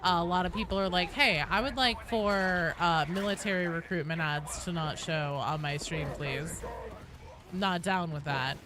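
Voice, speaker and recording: A woman's voice: lowish voice, moderate pace, noisy background. A woman livestreaming a game, chatting casually with viewers in an irreverent, sweary, talkative manner. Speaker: game streamer and host.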